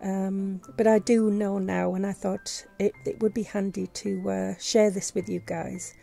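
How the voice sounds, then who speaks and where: A woman with a sore, fading voice talks through a casual tutorial voice-over, her tone gravelly and mellow. She hesitates often, restarting her sentences. Soft music plays faintly behind her. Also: medium pitch, level tone, unhurried. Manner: hesitant, many fillers and restarts. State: losing her voice, sore throat. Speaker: vlogger. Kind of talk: casual screen-recorded tutorial. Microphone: voice-over on screen recording.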